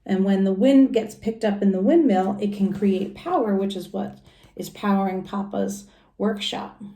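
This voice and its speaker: A woman's voice: medium pitch, steady tone, normal speed, warm tone, reverberant. A woman reading a children's storybook aloud like a teacher, adding simple explanations and questions for young listeners.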